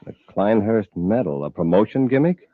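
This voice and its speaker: An actor playing a steady, authoritative space patrol commander in a 1950s radio serial. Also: male voice, lowish voice, normal speed, no echo. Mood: suspicious, firm. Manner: firm, authoritative. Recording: dull old radio broadcast recording.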